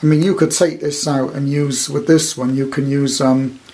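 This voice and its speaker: A man chatting casually and unscripted as a hobby vlogger, in a halting, rambling way. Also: mid-range voice, little pitch movement, darkish tone. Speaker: vlogger reviewing CB radio gear. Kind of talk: casual unscripted chat to camera.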